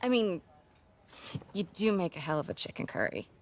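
An actress in her twenties playing a warm, teasing young woman in a video game, gentle and unhurried. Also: fairly low pitch, very animated. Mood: teasing, reassuring. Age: 20s. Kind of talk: naturalistic game cutscene acting.

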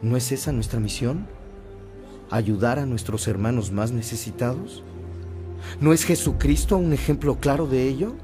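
Guy playing young seminary student in a radio play, earnest, persuasive, mid pitch, faint music behind. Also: moderate pace, close to an everyday voice. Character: Rafael, earnest, warm-hearted young male seminarian. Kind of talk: radio drama acting.

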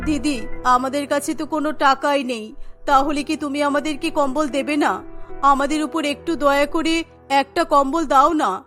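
A woman's voice: high voice, fairly even intonation, moderate pace, a bit bright, soft background music. A woman voicing an earnest, pleading woman of a poor family in a cartoon story.

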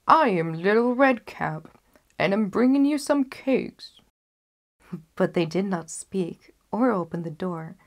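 A young woman storyteller narrating a scripted fairy tale in a careful, measured read-aloud manner. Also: on the low side. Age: young adult. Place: small curtained indoor space, seated.

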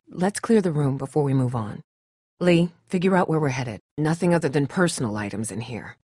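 A woman's voice: deep voice, a little mellow, breathy edge, no room sound, clean studio sound. A woman playing a tough, blunt but caring survivor of an apocalypse in a dramatic video game. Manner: blunt, urgent, no-nonsense.

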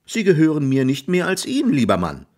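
A man's voice: a little high, huge pitch swings, a little fast, darkish tone, voice pushed up. A man voicing a cheeky, glib sixteen-year-old boy who argues from a tree in an audiobook. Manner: cheeky, argumentative. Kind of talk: audiobook character voice.

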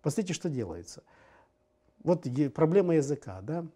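Emphatic man with a mellow voice. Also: very animated, moderate pace. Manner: emphatic, swings from loud outbursts to low asides. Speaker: guest. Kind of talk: animated political discussion. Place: TV studio. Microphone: lapel mic.

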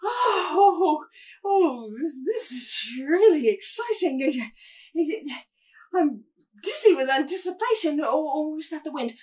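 A woman acts out a bewildered, excitable newborn whale in a comic monologue. The voice is very high and swoops wildly, tumbling out in breathless stammers, picked up a little way from the mic. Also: a little slow, recorded from a distance. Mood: giddy wonder. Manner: breathless stammering, babbling wonder. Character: excitable, bewildered newborn sperm whale falling through sky.

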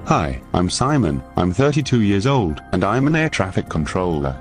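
A man's voice: deep voice, sing-song, normal speed, faint music behind. An actor voicing a smug man in his thirties who teases and threatens his rival.

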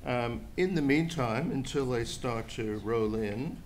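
An older man from Australia chairs the panel in slow, halting speech. He talks at a table microphone in a conference room with faint ambience. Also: mid-range voice, noisy background. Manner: hesitant, halting between words. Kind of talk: moderating a panel.

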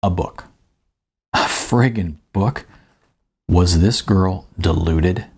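A middle-aged man with an American accent and a gravelly, slightly husky voice, narrating his own first-person book as an author. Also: sits low, slow, darkish tone. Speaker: author reading his audiobook. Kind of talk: first-person audiobook narration with casual asides. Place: small indoor room, seated at a table.

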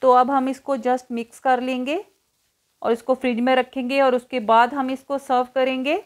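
A woman's voice: sits high, level tone, normal speed. A woman hosting a cooking tutorial in voice-over, explaining each step in an instructional way. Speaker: cooking show host.

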